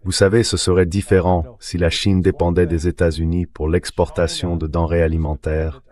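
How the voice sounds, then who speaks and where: Very deep synthetic male commentator. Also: level tone, normal speed, darkish tone. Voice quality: synthetic AI dubbing voice. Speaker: political commentator, interview guest. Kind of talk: dubbed voice-over of political commentary.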